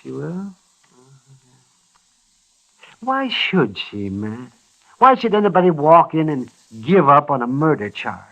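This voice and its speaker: An actor playing a crusty, garrulous older town doctor in a radio western, folksy and emphatic, with an American accent. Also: male voice, a little high, very animated, slow pace, gravelly, pauses often, a bit bright, exaggerated voice. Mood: needling. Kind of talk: 1950s radio western acting. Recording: muffled vintage radio recording.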